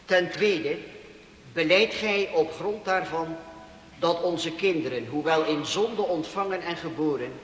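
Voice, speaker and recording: An actor playing a solemn Protestant minister conducting a baptism in a formal, measured, declamatory way in a 1960s radio drama. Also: male voice, on the high side, a bit bright. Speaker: pastor. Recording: echoey church hall, noisy old radio recording.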